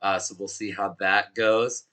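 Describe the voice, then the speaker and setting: A man with a hoarse, worn-out voice hosting a live music show in a chatty, pumped-up way. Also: on the low side, flat delivery, a little slow, sharp tone. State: hoarse, has lost his voice. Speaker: show host.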